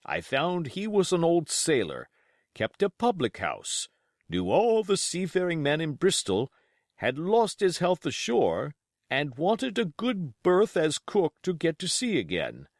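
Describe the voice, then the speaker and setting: A man voicing a pompous, excitable country squire reading out his letter in an emphatic, blustering storybook manner. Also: fairly high pitch, measured pace, no echo, clean studio sound, voice pushed up, exaggerated voice. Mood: blustering, confiding.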